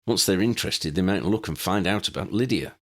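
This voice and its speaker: A man's voice: sits low, animated, fairly quick, voice pushed up. A man voicing a serious, cautious young male wizard leader, firm and decisive, in an audiobook.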